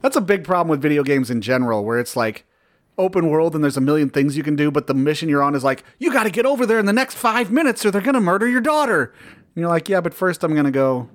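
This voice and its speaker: A man with a slightly high-set voice reads a review aloud on a casual podcast. He keeps a sardonic, deadpan tone, swears freely, and cuts in with his own asides. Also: quick pace. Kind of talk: casual banter, reading aloud.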